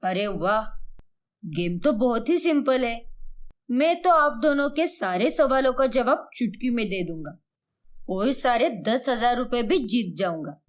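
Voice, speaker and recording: A woman's voice: fairly high pitch, animated, unhurried. A woman voicing a confident young husband, casual and protesting, in a cartoon story. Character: confident, easygoing young husband and indulged son.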